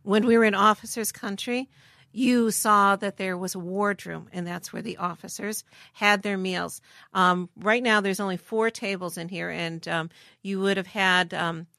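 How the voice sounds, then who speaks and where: Middle-aged woman, bright clear tone, dry sound, methodical guide. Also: medium pitch, steady tone, normal speed, no echo. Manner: informative, methodical, with fillers. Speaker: museum director, guest. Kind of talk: explanatory interview talk. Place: submarine galley.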